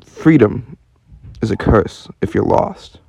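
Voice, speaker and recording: A man's voice: on the low side, expressive, takes it slowly, vocal fry, crowd noise, exaggerated voice. A man playing a lost, world-weary and sceptical wanderer in a radio play. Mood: weary, downcast.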